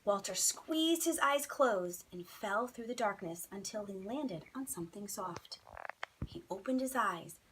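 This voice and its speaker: A woman's voice: mid-range voice, animated, unhurried, a bit bright, slight background noise, poor audio. A woman teacher reading a children's bedtime story expressively, with dramatic, emphatic phrasing.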